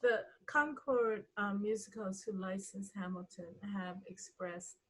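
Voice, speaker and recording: A woman guest in a casual interview, talking enthusiastically in a halting way and repeating words for emphasis. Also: medium pitch, fairly bright tone, slightly rough, rough recording. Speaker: interview guest, writer and director.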